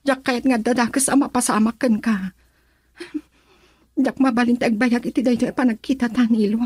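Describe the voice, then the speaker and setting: A high, close and dry young female voice with a Filipino accent. She is the anguished sweetheart of a radio drama, emotional and pleading, torn between love and family. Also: steady tone, moderate pace, darkish tone, slightly rough, no echo. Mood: tender, worried. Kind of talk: Ilocano radio drama acting.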